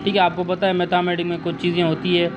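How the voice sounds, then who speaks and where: A man's voice: high-pitched, monotone, fast, dull audio. Man teaching maths with background hum. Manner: patient, repeats steps and addresses students as dear child. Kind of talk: explaining an exam question to students.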